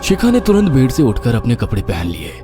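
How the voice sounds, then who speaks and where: A man's voice: medium pitch, wildly swooping pitch, a little fast, music in the background. A man narrating a scripted horror story in a dramatic style.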